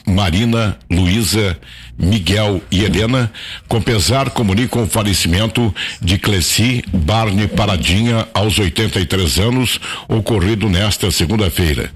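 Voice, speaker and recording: Male announcer, lowish and raspy, formal scripted notices. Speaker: radio announcer.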